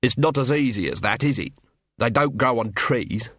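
A man's voice: mid-range voice, normal speed, fairly bright tone, dry recording, studio quality. A man voicing a polite but harassed, reluctant bridegroom in an audiobook, speaking in a terse, matter-of-fact way. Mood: worried.

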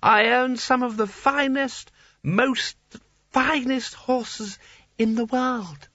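A man voicing a tipsy, dreamy old male seraph who talks slowly and wanders off mid-thought, hiccuping. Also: extremely high pitch, bright, forceful tone, no room sound, dull audio, voice pushed up, heavily acted.

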